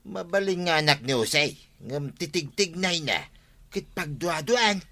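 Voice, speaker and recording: A troubled, stubborn village man in a comedy radio drama. His voice is very sharp and forceful, a little high, and full of grumbles and exclamations. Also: lively intonation, a little slow, full character voice. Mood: doubtful, uneasy.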